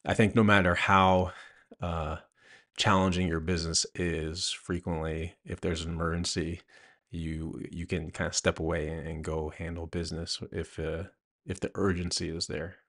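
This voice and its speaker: A man answering interview questions conversationally as an author and host, thinking aloud haltingly with many a "you know". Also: sits low, level tone, fairly bright tone.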